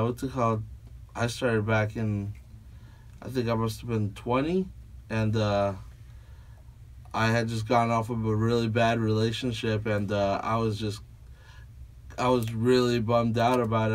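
A man's voice: fairly low pitch, flat delivery, normal speed, recorded from a distance. A man from Peru, tired after a late night, telling stories casually in a small podcast studio, rambling with lots of likes and fillers. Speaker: guest tattoo artist. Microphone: studio mic on a boom arm, headphones on. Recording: voice a little off-mic.

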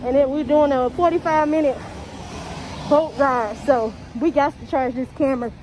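A woman's voice: high-pitched, fairly even intonation, moderate pace, darkish tone. A young American woman chatting casually and slangily to viewers as she vlogs, her voice slightly muffled by a face mask. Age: young adult. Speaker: vlogger. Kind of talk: casual vlog chat to viewers. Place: outdoors, walking on city streets and waterfront. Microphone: phone camera held at arm's length. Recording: dull phone audio, outdoor street noise.

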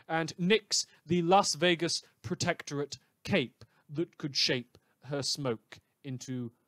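A man's voice: on the high side, a bit bright, no echo. A man playing a smug, rambling small-town radio news host in a fan audio drama, theatrical and wry, repeating phrases for emphasis. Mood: arch, insinuating.

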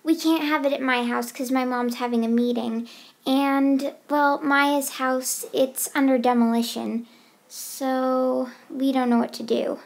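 A woman's voice: high voice, little pitch movement, measured pace, creaky. A young woman voicing casual, dramatic girls planning a sleepover in an amateur doll movie. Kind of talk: amateur doll stop-motion voice acting.

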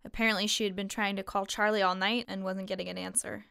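A young waitress in a fiction podcast who keeps her guard up over her grief. She talks plainly and haltingly at a medium pitch, weary and a little defensive, in a very dry recording. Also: flat delivery, creaky, bright, forceful tone, clean tone, no room sound. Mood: subdued, explaining. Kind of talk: fiction podcast drama acting.

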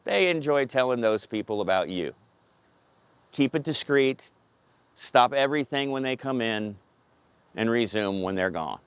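A man's voice: mid-range voice, smooth, dry recording. A man in his fifties delivering scripted, plain-spoken instructional advice to camera as a pest control pro, outdoors on a lapel mic. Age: 50s. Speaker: pest control company representative. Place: outdoors in a parking lot beside a pickup truck. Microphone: clip-on lapel mic.

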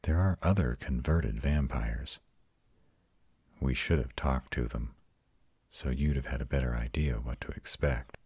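Ancient male vampire, played by a man, intimate audio roleplay; bottomless bass, dry close sound, tender and reassuring. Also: dry recording, exaggerated voice. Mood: tender, apologetic. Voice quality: very deep, husky. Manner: soft, intimate, slow reassurance. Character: ancient arrogant male vampire tenderly comforting his mate. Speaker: voice actor.

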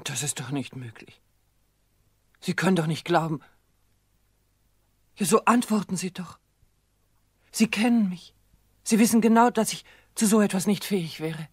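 Radio drama: devoted daughter under suspicion. Husky, anxious, defensive, pleading. Dry recording. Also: female voice, fairly low pitch, expressive, normal speed, pauses often, no echo. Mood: distressed, indignant. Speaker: doctor's suspected patient's daughter.

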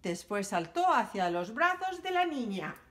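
A woman reading a children's picture book aloud for library storytime, in an animated, sing-song storytelling manner. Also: a little high, a little slow, a little hiss or hum.

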